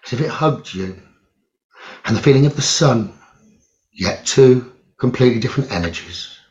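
A man leading a guided meditation in a soothing, measured way, leaving long pauses between phrases. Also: mid-range voice. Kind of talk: slow guided meditation narration. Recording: roomy echo, dull lo-fi sound.

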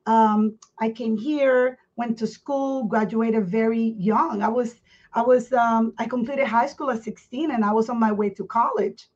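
A woman, her voice set a little high. She talks candidly as a podcast guest, circling back and restarting her phrases. The sound is muffled and dull. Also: steady tone, a little mellow. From Puerto Rico. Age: 50s. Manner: candid, earnest, speaks in halting restarts. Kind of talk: personal life story in a podcast interview.

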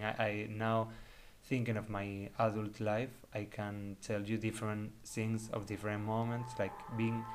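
Low-voiced man hosting a casual podcast. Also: a little hiss or hum. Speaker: podcast host. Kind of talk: casual conversational interview, personal reflection.

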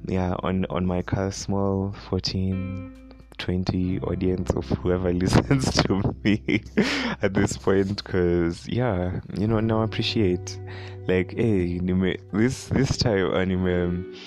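A young Kenyan man with a deep voice and a Kenyan accent, chatting casually on his own podcast, rambling with many fillers and frequent laughs. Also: flat delivery, slow pace, a little mellow, soft background music. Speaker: podcast host. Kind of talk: casual solo podcast chat.

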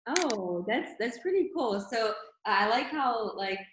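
A woman's voice: fairly high pitch, lively intonation, slow pace, roomy sound, poor audio. A woman host who asks questions eagerly and fills her speech with "like". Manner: enthusiastic, many "like" fillers. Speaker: interviewer, podcast host. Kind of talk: casual interview talk.

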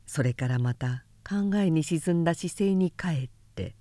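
A woman narrating a literary novel in audiobook style, with measured, deliberate phrasing and pauses between phrases. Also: deep voice, expressive, warm tone, no room sound. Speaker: narrator reading a novel aloud.

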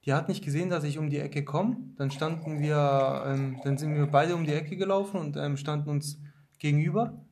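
Male host, slightly high pitch, casual and hesitant, lots of fillers. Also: steady tone, normal speed, a little mellow, a little hiss or hum. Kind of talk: casual conversation.

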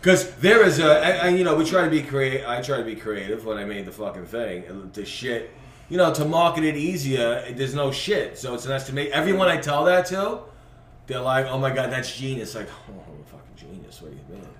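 A man's voice: mid-range voice, expressive, fairly quick, a bit bright. A man hosting a casual podcast chat, brash and profane, joking and laughing with friends. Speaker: podcast host. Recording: roomy, distant mic with faint noise.

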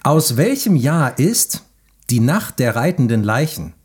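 Husky, lively man hosting a quiz. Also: medium pitch, measured pace. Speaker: podcast host and quizmaster.

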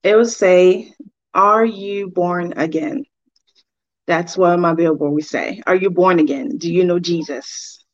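A woman's voice: lowish voice, fairly even intonation, measured pace, no room sound. A woman in her forties from Liberia, giving earnest, devout personal testimony as an interview guest on a slightly dull recording.

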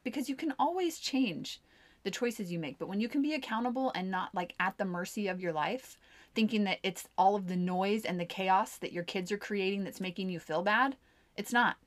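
A woman's voice: mid-range voice, normal speed. A woman co-hosting a podcast, a mom giving upbeat, encouraging advice in casual chat. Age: adult mom. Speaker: podcast co-host.

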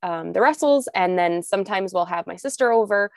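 A woman's voice: medium pitch, animated, brisk, vocal fry, dry recording, studio quality. A woman co-hosting a podcast, a bit nasal from a cold, chatting casually and asking follow-up questions. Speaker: podcast co-host. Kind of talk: casual chat.

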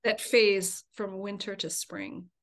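A woman co-hosting a casual podcast, friendly and quick to laugh, often trailing off softly. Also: medium pitch, steady tone. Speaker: co-host.

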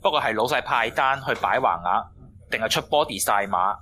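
Casting: guarded, streetwise Hong Kong Cantonese informant, sharp mid-pitched voice, slangy radio drama. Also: male voice, animated, moderate pace. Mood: cagey, knowing. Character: cagey, streetwise male informant talking in slang.

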